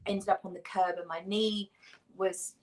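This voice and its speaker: A woman's voice: medium pitch, fairly even intonation, measured pace. A woman as a guest on a live chat, telling a long anecdote in a rambling, self-deprecating way.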